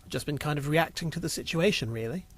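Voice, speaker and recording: A man's voice: a little high, fast talker, close to an everyday voice. A man playing an unsettled, searching time lord traveller in a storybook, speaking casually and frankly. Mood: uncertain, frank. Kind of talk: storybook character dialogue.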